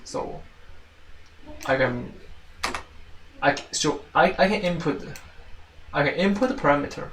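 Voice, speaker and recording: A man with a Chinese accent giving an informal tutorial as a teaching assistant, halting and often repeating and rephrasing himself. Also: fairly high pitch, animated. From China. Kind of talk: informal spoken tutorial walkthrough. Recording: roomy, distant mic, faint noise and clicks.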